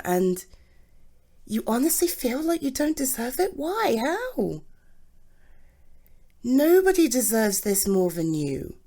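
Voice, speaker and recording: Woman as British girlfriend for ASMR roleplay: doting, affectionate, very animated pitch, unhurried delivery. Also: full character voice. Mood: tender, baffled. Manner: gushing, affectionate, halting with sighs and repeats. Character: doting, excitable, supportive girlfriend comforting her partner. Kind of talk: ASMR girlfriend roleplay, intimate one-sided scene.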